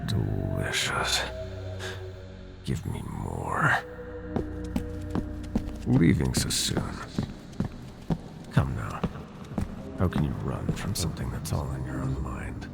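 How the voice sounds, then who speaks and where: A man playing a sinister, seductive nightmare god in a roleplay audio drama, gloating and possessive, with a slow theatrical, teasing drawl. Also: rumbling low, wildly swooping pitch, vocal fry, exaggerated voice. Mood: savoring, predatory. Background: music.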